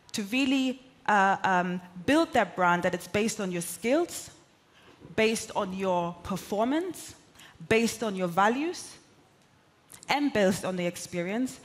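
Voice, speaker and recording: A woman whose first language is German delivers a motivational keynote in a steady, mid-range voice. She sounds earnest and emphatic, stacking her points into repeated lists. A faint hiss sits under her voice. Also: mid-range voice. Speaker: keynote speaker. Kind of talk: motivational conference talk.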